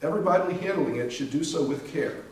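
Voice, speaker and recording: A man's voice: a little high, fast, natural voice. A man playing a grave, knowing doctor and inventor in his thirties in a readers theater monologue. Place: library readers theater performance, distant microphone. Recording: very echoey room, distant mic.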